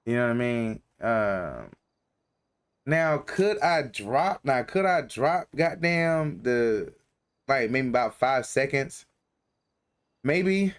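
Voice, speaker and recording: A man's voice: mid-range voice, big pitch swings, slow pace. A young man from North Carolina chatting casually on a game live stream, rambling with lots of slang and fillers.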